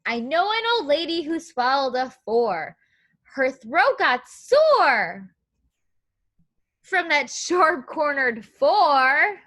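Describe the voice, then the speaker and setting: Female preschool teacher, high bright voice, sing-song and encouraging, reading aloud to little kids. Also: slow pace. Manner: animated, sing-song, encouraging, simple phrasing for young children. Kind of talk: children's read-aloud lesson with questions and counting.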